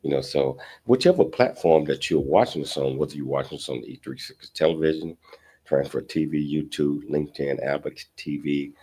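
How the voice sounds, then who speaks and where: An American man hosting a casual talk show in a halting, rambling way with repeated words. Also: very deep voice, mellow.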